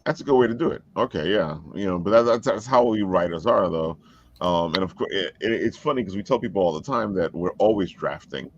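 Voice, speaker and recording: A man chatting casually as an interviewer on a podcast, with a halting delivery that often repeats words. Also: low-pitched, expressive. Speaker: interviewer and fellow writer. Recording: dull, close, lo-fi call audio.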